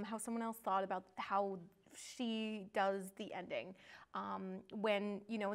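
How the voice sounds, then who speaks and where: A woman on a podcast chatting casually about films in a playful, joking way with chatty interjections. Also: medium pitch. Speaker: podcast co-host or guest. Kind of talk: casual film chat.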